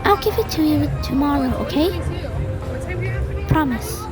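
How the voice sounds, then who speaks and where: A woman voicing a chatty, quirky animal friend in an amateur animated drama, with a casual, quibbling manner. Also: high-pitched, measured pace. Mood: reassuring. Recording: loud music and crowd noise behind.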